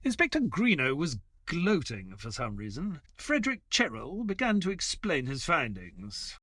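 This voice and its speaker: Measured male chronicler of grim wartime crimes actor, audio drama, dramatic and widely varied in pitch. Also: wildly swooping pitch, sharp tone, no room sound, studio quality, heavily acted. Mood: grave, reflective. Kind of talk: scripted audio drama narration.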